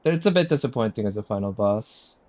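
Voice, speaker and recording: A man giving casual, unhurried live commentary as a game streamer, musing aloud as he goes. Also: lowish voice, lively intonation, darkish tone. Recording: game music behind the voice. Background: game music.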